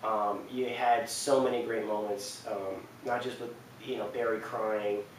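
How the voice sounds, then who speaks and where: A man's voice: lowish voice, level tone, unhurried. A man giving enthusiastic, rambling, unscripted game commentary as host of a countdown, repeating himself for emphasis. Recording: very echoey distant mic, faint noise.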